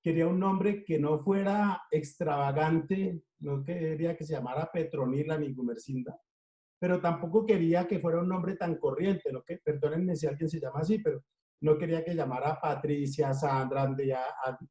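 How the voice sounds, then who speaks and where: Man, Colombian accent, middle-aged, unhurried interview storytelling, rhythmic lists, slightly high voice, echoey room. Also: steady tone, dark tone. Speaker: interviewed cartoonist. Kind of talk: relaxed storytelling.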